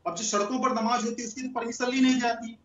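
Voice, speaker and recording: Male panelist, high voice, assertive, echoey room on a lo-fi line. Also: little pitch movement, quick pace, fairly bright tone, a little hiss or hum. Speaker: debate panelist for the ruling party. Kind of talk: TV debate argument.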